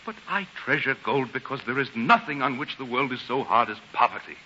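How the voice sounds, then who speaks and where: A man's voice: mid-range voice, animated, brisk, pushed and bright. An actor playing a grasping, defensive man in his prime in a 1940s radio drama, insistent and argumentative. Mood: dismissive. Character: grasping, defensive young suitor, prime of life. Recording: dull hissy 1940s radio recording.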